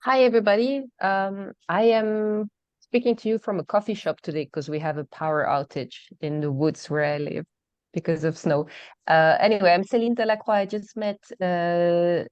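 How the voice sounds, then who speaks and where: Professor lady on a call from a coffee shop, lowish smooth voice, kinda muffled dry audio. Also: fairly even intonation, normal speed, darkish tone, no echo. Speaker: adjunct professor. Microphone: video call.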